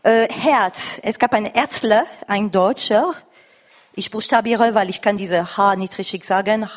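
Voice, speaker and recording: A woman from France with a foreign accent, speaking from the floor haltingly and insistently as she searches for words. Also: mid-range voice. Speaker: audience member at a lecture. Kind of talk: spontaneous comment from the floor. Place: reverberant lecture room or hall. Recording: echoey hall recording of live talk.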